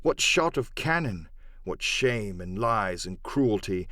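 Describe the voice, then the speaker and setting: A man narrating a classic adventure audiobook in an expressive, dramatic storytelling manner. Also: lowish voice, slow, no room sound, studio quality. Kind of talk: dramatic scripted literary narration.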